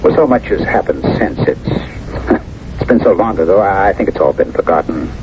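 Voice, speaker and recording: An actor playing a reserved American businessman in his fifties in a radio drama, measured and reflective, who also narrates his own story. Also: deep voice, lively intonation, a little mellow. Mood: polite, hesitant. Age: 50s. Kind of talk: 1970s radio drama acting and first-person narration. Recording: dull, noisy 1970s radio recording.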